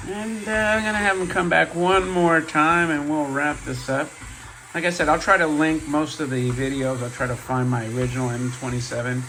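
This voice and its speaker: A man with a hoarse voice, deepened by a cold, hosts a relaxed show-and-tell video. He rambles along in an easygoing way, full of fillers and side remarks. A faint hum of noise sits under the recording. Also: normal speed, low-quality recording. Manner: chatty and rambling, many fillers and asides. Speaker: toy robot collector and channel host. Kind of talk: casual show-and-tell vlog.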